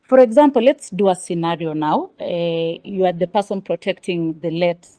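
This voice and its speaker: A Kenyan woman with a soft, mellow tone conducts an interview in a conversational style. The recording is dry and close, without room echo. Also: fairly low pitch, normal speed. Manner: mixes Swahili words into English.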